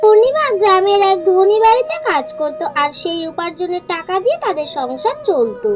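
A woman's voice: very high-pitched, big pitch swings, a little fast, over music. A woman narrating a scripted cartoon folk story as its storyteller.

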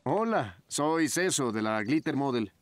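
A man's voice: medium pitch, expressive, measured pace, noisy background, close to an everyday voice. An actor playing an eager, helpful young male fashion photographer in a children's cartoon dub. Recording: music behind the voice.

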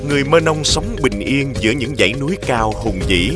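A man's voice: mid-range voice, lively intonation, fairly quick. Male legend narrator over music.

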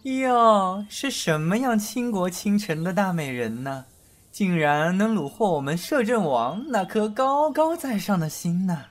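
Woman as a lively, coquettish young lord. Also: mid-range voice, a little slow, soft background music. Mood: mocking. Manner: coquettish, teasing, mocking. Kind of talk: costume radio drama acting.

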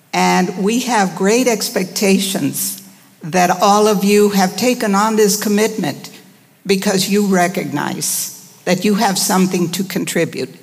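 Slow-spoken older woman in a reverberant hall. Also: lowish voice. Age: 60s or older. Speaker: former school employee speaking at public comment. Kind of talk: prepared public address. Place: large indoor board meeting room. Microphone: gooseneck podium microphone.

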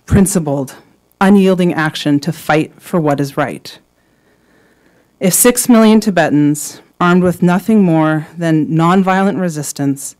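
A woman's voice: fairly low pitch, darkish tone. Earnest young woman giving a podium speech. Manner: earnest, rhetorical, measured phrasing. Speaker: award speaker. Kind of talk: prepared advocacy speech. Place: large conference hall, indoors. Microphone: podium microphone.

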